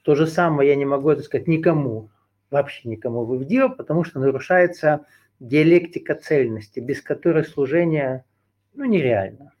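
Male teacher, slightly high voice, improvised lecture that loops and rephrases with fillers. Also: lively intonation, fairly quick, darkish tone. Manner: discursive, circles back and rephrases, hesitant fillers. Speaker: teacher leading a group session. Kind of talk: unscripted esoteric lecture.

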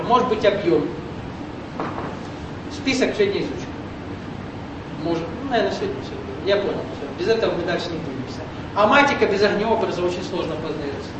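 A man leading a seminar, giving an explanatory spiritual lecture and often checking in with his audience. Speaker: seminar leader. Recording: very echoey room from far off, rumbling noise.

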